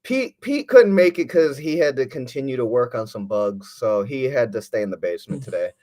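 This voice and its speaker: A man's voice: medium pitch, expressive, fairly quick, a little mellow. A man joking around in casual chat, laughing and swearing.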